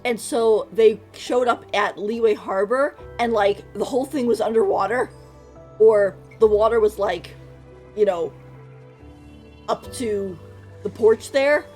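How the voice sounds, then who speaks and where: A woman's voice: mid-range voice, slow pace, a little mellow. Casual rambling woman streamer over faint music. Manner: rambling, thinking aloud. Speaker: game streamer. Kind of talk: casual live game commentary. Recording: faint game music behind.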